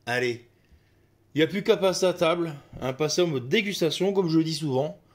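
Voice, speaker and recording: A man's voice: a little high, fairly quick. A man with a slight cold hosting a cooking video, talking casually as he works and peppering his speech with little interjections. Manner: chatty, filler-heavy with "hop" and "hein". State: a bit of a cold. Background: kitchen clatter of dishes and utensils.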